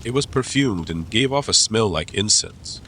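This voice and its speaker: A man's voice: on the low side, lively intonation, moderate pace, sharp tone, a little hiss or hum. A man narrating a dramatized classic story as an audiobook, reading scripted literary prose.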